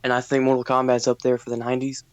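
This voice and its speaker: A man's voice: mid-range voice, completely flat delivery, very fast, clean tone, dry recording. Man chatting, close dry sound. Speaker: podcast panelist. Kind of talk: casual opinionated chat.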